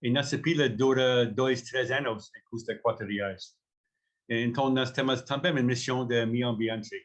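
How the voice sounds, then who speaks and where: A man from Canada, a native English speaker with a Canadian accent, talking informally as a company founder in halting, word-searching non-native speech. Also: mid-range voice, steady tone.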